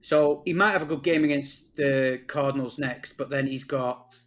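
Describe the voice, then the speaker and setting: A man's voice: mid-range voice, moderate pace. Guy co-hosting a fantasy football pod, chatty and laid back. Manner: chatty, relaxed storyteller. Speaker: fantasy football podcast co-host. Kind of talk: casual chat.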